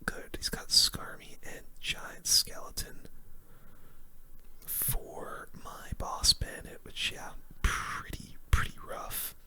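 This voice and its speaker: A gaming host whispering in a breathy, hushed voice close to the microphone, giving casual ASMR commentary while thinking aloud through play. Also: slow pace.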